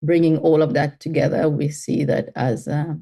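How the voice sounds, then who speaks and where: A woman's voice: deep voice, steady tone, moderate pace, warm tone, slightly rough. A middle-aged woman from Mauritius, a guest on an interview, answering thoughtfully with frequent fillers and restarts. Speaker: guest, health tech CEO.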